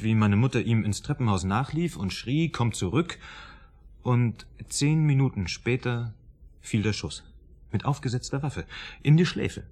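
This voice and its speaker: A man's voice: medium pitch, a little mellow, lightly acted. An actor playing a troubled, guilt-ridden male writer in a German radio drama, speaking in an earnest, insistent, articulate way.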